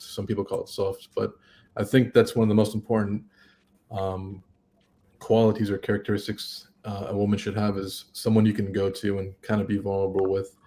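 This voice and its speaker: A man on a discussion panel, speaking in a measured, thoughtful way with some hesitation. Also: on the low side, a little mellow. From United States.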